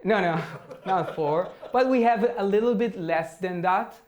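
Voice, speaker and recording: A man's voice: high voice, unhurried, darkish tone, highs cut off. A man giving a technical talk and answering audience questions, speaking as a with frequent fillers and restarts. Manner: hesitant, many fillers and restarts. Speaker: tech talk presenter.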